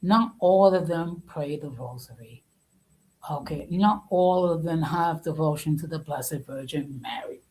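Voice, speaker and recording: A woman host giving an informal opinion talk in a halting, deliberate and emphatic way, often repeating her phrases. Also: low voice, a little mellow, low-quality recording.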